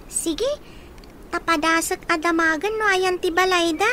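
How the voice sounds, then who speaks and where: Very high-pitched curious little child played by a woman. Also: measured pace, bright, forceful tone, slight background noise, heavily acted. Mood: curious, earnest. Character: curious, caring young child, a younger sibling. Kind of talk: Ilocano radio drama acting.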